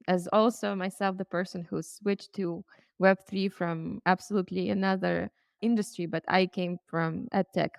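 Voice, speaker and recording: A woman hosts a podcast in a low voice with a gravelly edge. She sounds upbeat and welcoming. The recording is close and dry, with no room sound. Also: dry recording. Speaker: podcast host. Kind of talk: hosting a podcast interview.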